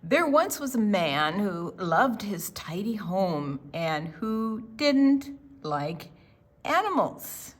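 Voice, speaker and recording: An older woman reading aloud to young children, deliberate and expressive, with chatty asides and questions to her listeners. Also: medium pitch. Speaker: children's storytime reader.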